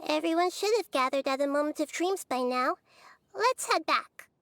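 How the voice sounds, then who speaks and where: A woman voicing a gentle, wise young goddess with a composed, formal manner in a video game. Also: high-pitched, animated, normal speed.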